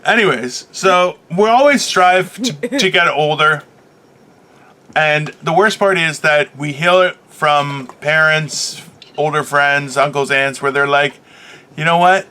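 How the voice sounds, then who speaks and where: A man in his late thirties co-hosting a podcast, chatting casually in a loud, animated and teasing way. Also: a little high, takes it slowly, clean tone. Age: 30s. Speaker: podcast co-host. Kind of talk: casual chat.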